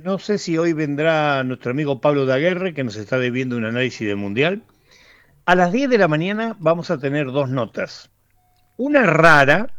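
A man's voice: fairly high pitch, fairly bright tone, no echo, highs cut off. A man with an Argentine accent hosting live radio in a casual, chatty way that often wanders off on asides. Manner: chatty, digressive. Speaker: radio host. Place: radio studio.

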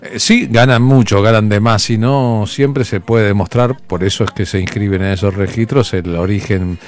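A man's voice: lowish voice, fairly even intonation, moderate pace, faint music behind. A man hosting a late-night radio show, thanking listeners and chatting with callers and guests. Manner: thanking listeners, reading messages. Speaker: radio host.

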